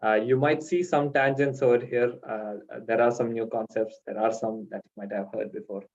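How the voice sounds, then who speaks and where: A man's voice: mid-range voice, fairly even intonation, normal speed, darkish tone. A man from India giving an online conference talk as a guest speaker, with many fillers and restarts. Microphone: video call. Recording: dull lo-fi video call audio.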